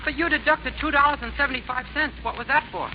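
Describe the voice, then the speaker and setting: A man in a 1940s radio comedy speaks in a meek, naive, timid way. His voice is very high. The sound is dull and hissy, like an old broadcast. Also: steady tone, quick pace. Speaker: singer in the cast.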